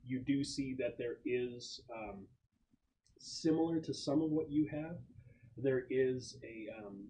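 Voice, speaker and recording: A man running a tabletop role-playing game, explaining things in an improvised, halting way. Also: medium pitch, mellow. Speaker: game master. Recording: clearly noisy home recording.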